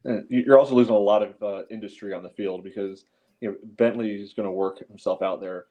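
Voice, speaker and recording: Male sports panelist, lowish and monotone, halting conversational delivery, slightly dull recording. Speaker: podcast panelist. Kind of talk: casual sports analysis. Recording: slightly dull, lo-fi call audio.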